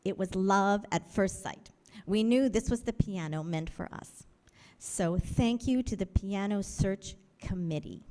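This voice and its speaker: A woman with a mellow, warm voice makes a formal announcement in a large sanctuary, reading at an even, measured pace. The sound rings with a long hall reverb. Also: medium pitch. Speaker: church speaker dedicating a piano. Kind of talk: formal announcement in a worship service.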